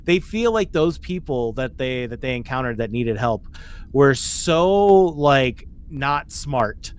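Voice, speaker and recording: A man's voice: medium pitch, lively intonation, takes it slowly, clear, resonant tone. A man co-hosting a podcast, talking casually with some swearing, rambling and repeating phrases as he goes. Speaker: podcast co-host. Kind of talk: casual, profane discussion.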